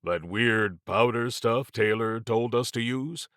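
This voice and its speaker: Man as stolid, skeptical agent, slow delivery. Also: medium pitch, a bit bright, no room sound, clean studio sound. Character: gruff, skeptical male agent, stolid and practical. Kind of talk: audiobook character dialogue.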